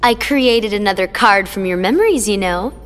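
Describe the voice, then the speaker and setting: A woman's voice: mid-range voice, normal speed, in a large hall, slight background noise, natural voice. An actress voicing a spiteful young female villain who mocks and taunts. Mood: taunting. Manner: taunting, mocking, sarcastic.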